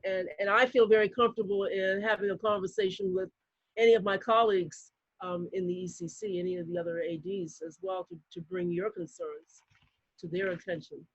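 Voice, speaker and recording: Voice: mid-range voice, gravelly. A moderator of a town hall, earnest and encouraging, often repeating words as he restarts. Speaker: athletic director, moderator. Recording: dry, dull lo-fi audio.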